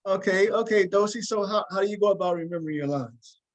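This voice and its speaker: A man's voice: high-pitched, fast talker. A man hosting a talk show, interviewing conversationally and often repeating words as he forms his questions.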